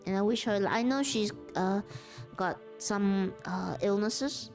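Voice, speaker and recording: A woman livestreaming relaxed crafting chat, rambling, losing her thread and laughing often. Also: medium pitch, takes it slowly, faint music behind. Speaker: streamer.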